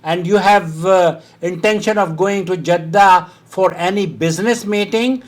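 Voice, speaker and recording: Indian guy hosting a call-in, high voice, firm and a bit dismissive. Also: a little slow. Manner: firm, dismissive of hearsay, with short laughs. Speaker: host answering a caller's religious question. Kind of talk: phone-in Q&A.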